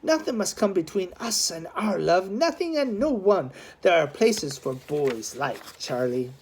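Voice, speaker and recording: A woman's voice: on the low side, big pitch swings, unhurried, a little mellow, husky, exaggerated voice. A woman voicing a cold, stern man in a storybook reading, speaking in a hard, threatening manner. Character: cold, stern Irish man, menacing future brother-in-law.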